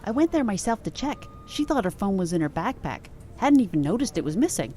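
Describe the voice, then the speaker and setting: Audio drama sidekick, played by a woman: prickly, dry-humoured, lively pitch, slight rumble in the recording. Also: a little fast, close to an everyday voice. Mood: matter-of-fact.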